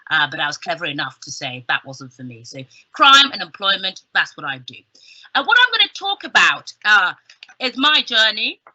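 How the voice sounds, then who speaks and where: A woman's voice: medium pitch, pushed and bright. A middle-aged woman with a southern English accent giving an informal, chatty careers talk as a guest speaker, with emphatic delivery and humorous asides. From southern England. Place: small indoor room with bookshelves, on a video call. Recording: slightly dull video-call sound.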